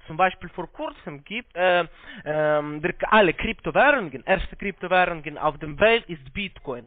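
A non-native speaker, a man with a foreign accent and halting, broken grammar, giving a phone sales pitch. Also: no room sound. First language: not German. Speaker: call-centre investment salesman. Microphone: telephone. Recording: muffled band-limited phone line.

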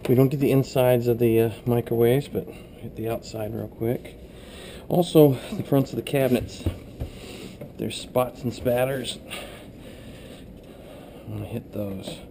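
A man's voice: medium pitch, fairly even intonation, a little slow. A man giving casual, hands-on cleaning instructions in a plain, practical way while he works indoors, recorded on a camera he wears. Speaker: cleaning trainer. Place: small workplace kitchen, indoors. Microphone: body-worn or head-mounted camera. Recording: noisy action-camera audio with room ambience.

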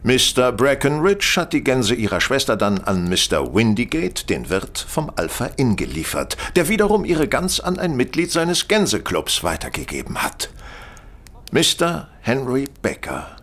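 An actor playing a composed, incisive master detective in an audio drama, methodical, stern and theatrical. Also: male voice, medium pitch, huge pitch swings, moderate pace, fairly bright tone, heavily acted. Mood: explaining, probing. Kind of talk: German audio drama acting.

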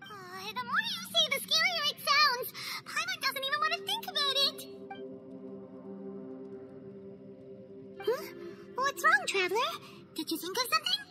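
A woman voicing a tiny, excitable female fairy companion in a game, squeaky and whiny, speaking of herself in the third person. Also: normal speed. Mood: excited. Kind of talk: video game cutscene voice acting. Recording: game audio with loud music underneath.

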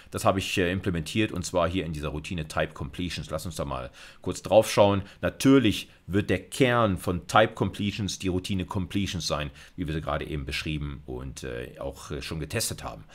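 A middle-aged man giving an informal video lecture, thinking aloud through a live coding demo and dropping in English technical terms. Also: sits low. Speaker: university lecturer.

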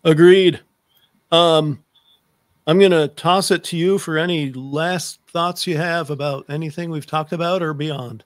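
A middle-aged man hosts a conversational interview show. His voice sits slightly high for a man. He is captured up close on a desk microphone, with a very dry sound. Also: fairly even intonation, a little slow, dry recording. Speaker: talk show host. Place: home room lined with books, indoors. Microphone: close desk microphone on a video call.

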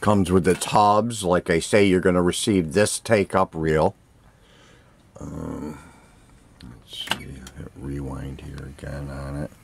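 A man selling gear gives a casual, unscripted demonstration, speaking plainly and haltingly in short phrases, recorded on a handheld camera indoors. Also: extremely low pitch, fairly even intonation, vocal fry, slight background noise. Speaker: seller demonstrating vintage audio gear for an online listing. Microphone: handheld camera, speaker behind it.

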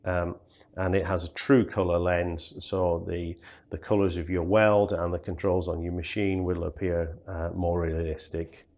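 A man's voice: low-pitched, level tone, measured pace, a little mellow. A man demonstrating welding safety equipment in a studio, explaining plainly with many ums and uhs. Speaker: product demonstrator. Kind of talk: product demonstration. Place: studio with green table, indoors.

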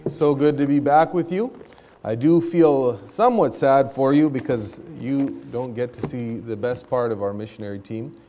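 A man's voice: moderate pace, warm tone, a little hiss or hum. A middle-aged man who grew up speaking Spanish at home, giving an earnest, conversational missions talk from a church pulpit. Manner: earnest, conversational, rises in pitch when stressing a point. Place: church sanctuary stage at a pulpit.

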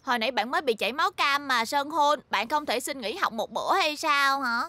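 Very high-pitched, spirited little schoolgirl. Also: animated, brisk, sharp tone, dry recording. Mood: concerned, earnest. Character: spirited, cheeky little schoolgirl who loves to play. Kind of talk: animated children's cartoon dub acting.

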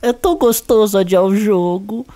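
A man's voice: sits high, moderate pace, mellow, voice pushed up, lightly acted. A Brazilian man voicing nervous, scheming office workers in a cartoon fan dub, with a whiny, wheedling delivery. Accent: Brazilian Portuguese.

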